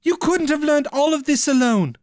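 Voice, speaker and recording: A storyteller voicing a feverish young woman mage who speaks in a sharp, strained, defiant way. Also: sits high, lively intonation, normal speed, no echo, studio quality, voice pushed up. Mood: grave.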